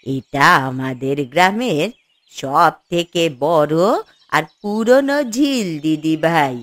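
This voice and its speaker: A woman voicing a gentle elderly village grandmother in a fairy-tale cartoon, speaking in a drawn-out, reassuring way. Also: low-pitched, lively intonation, dry recording. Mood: kindly explaining.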